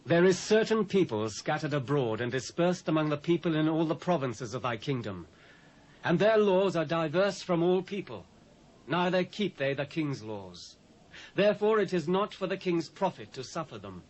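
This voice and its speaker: A man's voice: on the high side, big pitch swings, normal speed, low-quality recording. A British man playing a scheming, persuasive royal official in a formal Bible drama.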